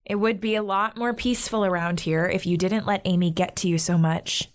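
An actress playing a caring, indulgent young big sister in a modern audio drama, conciliatory and gently teasing. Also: female voice, lowish voice, steady tone, fairly quick, lightly acted. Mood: gentle, earnest.

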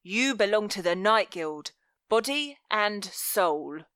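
Woman voicing a slow, cruel male guild master. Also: mid-range voice, lively intonation, a bit bright, clean tone, no room sound, studio quality. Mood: stern, menacing. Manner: commanding, deliberate, mock-tender menace. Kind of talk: dramatic audiobook character voice.

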